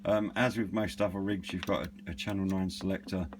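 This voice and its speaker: A man's voice: low voice, a little fast. A middle-aged British man giving a casual, unscripted product demonstration in a hesitant, understated, meandering way. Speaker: radio hobbyist and reviewer. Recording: clearly noisy with a steady hum.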